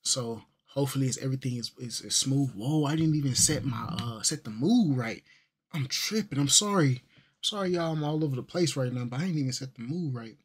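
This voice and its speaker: Chill guy in his 30s, rambling vlog into a desk mic, lots of ums, mid pitch voice. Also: brisk, husky. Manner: laid-back, rambling, heavy on fillers and slang. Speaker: vlogger. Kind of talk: casual fitness vlog. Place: small bedroom with gaming setup. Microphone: desk microphone.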